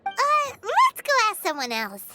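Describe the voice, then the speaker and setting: A woman voicing a tiny childlike fairy companion in a video game, perky and cheeky with a squeaky tone. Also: wildly swooping pitch, measured pace, heavily acted. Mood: perky, explaining. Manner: refers to herself in third person. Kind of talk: video game character acting. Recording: game music behind the voice.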